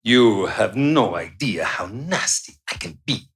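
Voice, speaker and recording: Radio play villain: smug vampire boss, condescending and theatrical, widely swinging pitch. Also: male voice, lively intonation, a little slow, a bit bright. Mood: smug, gloating. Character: gloating, menacing male vampire doctor and boss. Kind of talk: live radio play acting.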